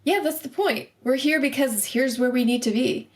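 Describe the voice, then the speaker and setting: A woman's voice: sits high, normal speed. A woman playing a tabletop roleplaying game, talking casually in and out of character.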